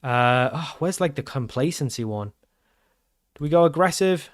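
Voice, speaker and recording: Guy yelling over his football manager save, higher voice, pretty clean. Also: normal speed. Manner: excitable, shouts at big chances and goals. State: losing his voice. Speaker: game streamer. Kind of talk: live commentary over a football management game.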